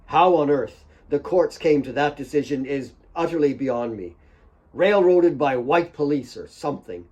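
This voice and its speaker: A man voicing a troubled doctor, grave and halting, upset but trying to stay composed. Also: on the high side, animated, a little mellow, slightly rough.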